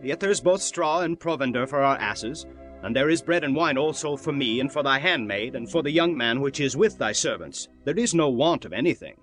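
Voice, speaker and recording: Man playing a bright-voiced, resolute biblical traveller. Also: medium pitch, expressive, fairly quick, soft background music, close to an everyday voice. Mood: earnest. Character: resolute, courteous travelling master in biblical times. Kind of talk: dramatized scripture reading.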